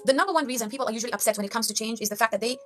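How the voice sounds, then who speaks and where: Young kenyan woman, motivational vlog, super fast, sharp bright voice, keeps saying guys. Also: on the high side, soft background music. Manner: earnest, preachy, addresses viewers as guys. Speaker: life coach vlogger. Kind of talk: motivational talk to camera.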